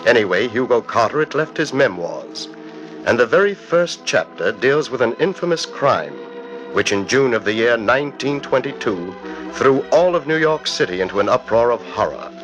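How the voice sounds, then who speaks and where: Guy narrating old radio mystery, very animated, theatrical, faint music behind. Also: mid-range voice, low-quality recording. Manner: theatrical, deliberate storytelling. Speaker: narrator, host. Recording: old 1940s radio broadcast.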